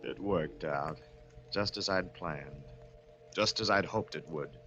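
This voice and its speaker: An actor playing a guilty, frightened man confessing in an old-time radio drama, swinging from hushed to panicked. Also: extremely low pitch, slow pace, lo-fi sound, loud music behind the voice. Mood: brooding, tense. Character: guilty, frightened wife-poisoning man narrating his confession.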